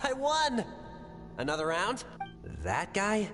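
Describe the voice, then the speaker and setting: An actor playing a dismissive, mocking young lad in a video game. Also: male voice, high-pitched, big pitch swings, slow pace, a bit bright, full character voice. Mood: taunting. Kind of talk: scripted video game voice acting. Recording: long reverb, faint music behind.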